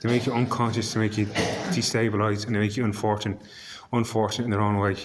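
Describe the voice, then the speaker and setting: A man from Ireland with an Irish accent, husky and hoarse, rambling to camera in a vlog and stumbling as he repeats words while searching for them. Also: lowish voice, level tone, quick pace, crowd noise. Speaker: vlogger.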